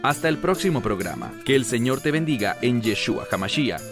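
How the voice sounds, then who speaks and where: A man announcing the closing of a program from a script, measured and clear. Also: mid-range voice, very animated. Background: music with bells.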